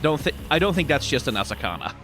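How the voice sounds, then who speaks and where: Male commentator, highly expressive, colloquial and sardonic, off-the-cuff reactions over clearly audible music. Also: fast talker. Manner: animated, colloquial, sweary, sardonic. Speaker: reaction video host, commentator.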